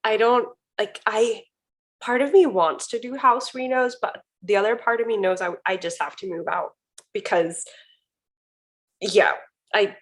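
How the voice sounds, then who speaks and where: A woman co-hosting a casual crochet podcast, friendly and rambling, often restarting her sentences. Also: mid-range voice. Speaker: podcast co-host. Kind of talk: casual chat. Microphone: video call recording.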